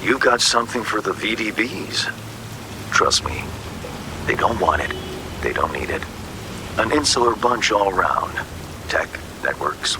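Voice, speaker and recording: An actor playing an urbane, cagey fixer in a video game, with a breathy murmur and florid, wry, theatrical phrasing. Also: male voice, deep voice, full character voice. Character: urbane, cagey underworld fixer man with sly wit. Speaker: game character, underworld fixer. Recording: rain and ambient noise behind.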